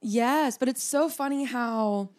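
A young woman with a slightly raspy low tone, co-hosting a podcast in a rambling, joking chat. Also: slow. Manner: rambling, laughing, swears casually. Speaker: podcast co-host. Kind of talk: casual chat.

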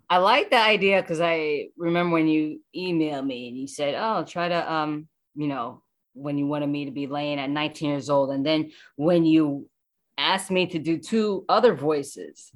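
Low-voiced woman, thinking aloud. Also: expressive, measured pace. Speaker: voice actor, interview guest. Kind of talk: casual interview.

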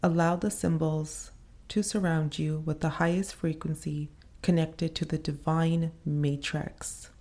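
Slow, low, soft-toned woman guiding meditation. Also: level tone. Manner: soothing, unhurried, pausing between short phrases. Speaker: guided meditation host.

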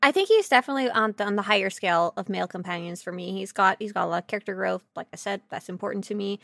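A woman's voice: mid-range voice, animated, very fast, vocal fry, fairly bright tone, no room sound, studio quality. A woman co-hosting a podcast, chatting casually about a video game in a gushing, playful, informal way. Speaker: podcast co-host.

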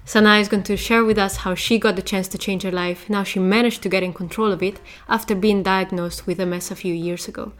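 A woman's voice: medium pitch, steady tone. Lady hosting an interview pod, upbeat, stumbles a bit on her questions. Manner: upbeat, halting with restarts. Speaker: podcast host.

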